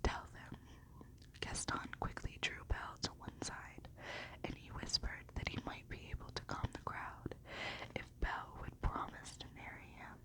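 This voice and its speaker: A woman reading a storybook in a gentle, unhurried, breathy whisper in an ASMR style. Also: low-quality recording.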